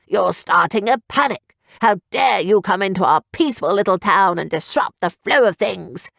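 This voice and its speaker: A woman's voice: mid-range voice, animated, normal speed, vocal fry, fairly bright tone, dry recording, clean studio sound. A woman voicing a pompous, self-assured male town orator who blusters at the crowd. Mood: scornful.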